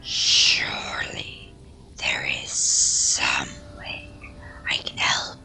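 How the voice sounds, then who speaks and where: A woman playing a meek, devoted servant in a fantasy audio drama, in a breathy, creaky whisper. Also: drawn-out words, bright, forceful tone, soft background music, full character voice. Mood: pleading. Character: meek, devoted servant pleading to help mistress.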